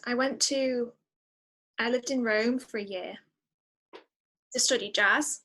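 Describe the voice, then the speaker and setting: A woman speaking as a podcast guest in a casual interview, chatty and expressive, often exclaiming. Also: fairly high pitch.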